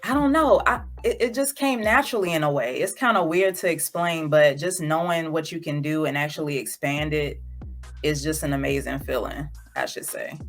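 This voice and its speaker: A woman from Georgia in the United States, a visual artist who is a podcast guest, telling stories in a relaxed, chatty way with casual slang. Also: low voice, moderate pace, soft background music. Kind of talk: casual interview.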